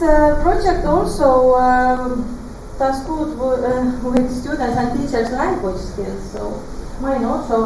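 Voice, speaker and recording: A woman with an Estonian accent speaks slowly in a somewhat high voice, often stopping to search for the right English word. She is recorded from a distance in a very echoey space. Steady background noise runs under her speech. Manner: halting, searching for English words with many fillers. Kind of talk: hesitant interview answers.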